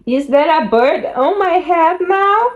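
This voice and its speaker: A woman's voice: very high-pitched, lively intonation, slow pace, voice pushed up, exaggerated voice. A woman voicing a worried, bewildered elephant from a picture book, exclaiming and questioning. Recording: muffled lo-fi home recording, background noise.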